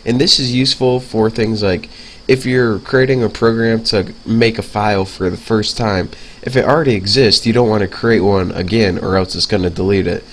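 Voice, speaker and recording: A man's voice: fairly low pitch, fairly bright tone, slight background noise. A young man with a stuffy nose from a cold, casually talking through a programming lesson as he types, with lots of fillers. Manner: casual, filler-heavy, talks while typing. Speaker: programming tutor. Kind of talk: casual screencast coding lesson.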